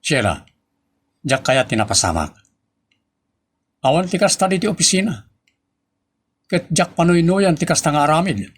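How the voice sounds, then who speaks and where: A man's voice: on the high side, big pitch swings, normal speed, pauses often. A man with a Filipino accent playing a stern, authoritative office boss who scolds his staff in a radio-style drama. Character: stern male office boss, commanding and exasperated.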